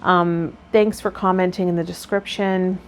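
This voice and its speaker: A woman's voice: on the low side, steady tone, moderate pace. A woman speaking as a tarot vlogger and guide, explaining and chatting directly to viewers. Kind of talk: reading card meanings, then casual chat to viewers. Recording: clear background noise.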